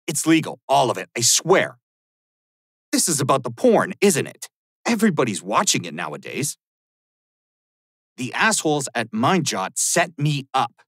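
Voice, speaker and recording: An actor playing an arrogant, sleazy middle-aged American rich criminal, blustering and evasive in video game lines. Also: male voice, mid-range voice, huge pitch swings, measured pace, pauses often, fairly bright tone, slightly rough, no room sound, clean studio sound, full character voice. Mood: indignant, pleading. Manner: foul-mouthed, blustering. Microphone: close studio mic, dry.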